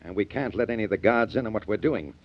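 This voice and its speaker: A man's voice: lowish voice, fast talker, a little hiss or hum, close to an everyday voice. A man playing an authoritative but caring prison warden in a 1940s radio drama. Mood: cautioning. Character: stern but secretly concerned male prison warden. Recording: dull vintage radio recording.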